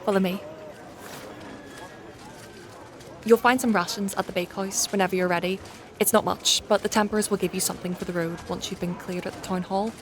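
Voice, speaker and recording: An actress playing a helpful, matter-of-fact female soldier in a dark fantasy audio drama, informal and obliging in manner. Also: medium pitch, steady tone, quick pace, hardly any pauses, a little hiss or hum, close to an everyday voice. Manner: plain-spoken, informal, obliging.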